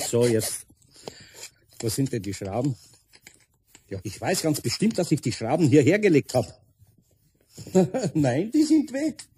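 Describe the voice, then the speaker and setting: A man playing a grumbling, good-natured older carpenter with a Bavarian accent and a husky, muttering, bemused delivery in a children's radio play. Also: mid-range voice, a little hiss or hum, close to an everyday voice. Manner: muttering to himself, bemused, expressive.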